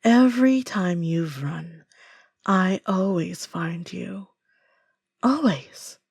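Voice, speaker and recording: A woman's voice: lowish voice, expressive, extremely slow, creaky, darkish tone, breathy edge, no echo, studio quality, exaggerated voice. A woman playing a possessive, menacing stalker lover in an audio roleplay, silky, smug and controlled with sinister endearments. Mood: coldly possessive.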